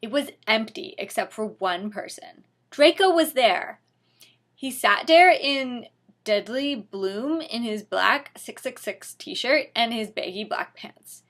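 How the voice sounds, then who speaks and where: A woman's voice: fairly high pitch, animated, slow, a bit bright, exaggerated voice. A woman voicing a melodramatic, gushing gothic teenage girl in a mock-dramatic fan fiction reading.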